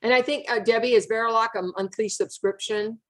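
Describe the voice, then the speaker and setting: A woman's voice: on the high side, fairly even intonation, a little fast. A woman hosting a notary question-and-answer session over a video call, friendly and encouraging. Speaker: host of a notary Q&A webinar. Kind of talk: casual moderating on a video call.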